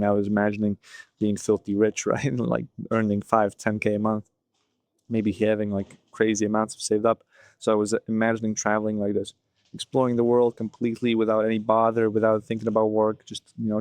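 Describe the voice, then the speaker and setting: A man in his twenties talking through his thoughts in a loose, unscripted solo monologue, often pausing on "like" and "you know". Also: lowish voice, darkish tone, no echo. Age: 20s. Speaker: vlogger.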